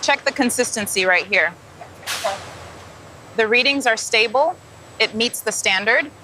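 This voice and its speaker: A woman's voice: fairly high pitch, measured pace, background noise, lightly acted. A woman playing a composed quality-control technician in scripted factory dialogue, speaking in a clipped, procedural way. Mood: composed, businesslike.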